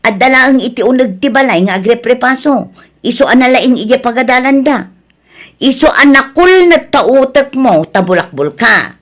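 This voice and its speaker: A woman's voice: fairly high pitch, expressive, moderate pace, natural voice. A woman with an Ilocano accent acting as a boastful, strict mother in an audio drama, scolding and mocking as she talks. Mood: accusing, scornful. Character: boastful, strict mother who belittles neighbors' children.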